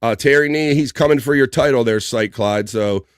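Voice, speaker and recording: A man's voice: mid-range voice, normal speed, clean tone. A man hosting a live stream, casually reading out viewer comments and joking with the audience. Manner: upbeat, joking, shouts out viewers by name. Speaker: host.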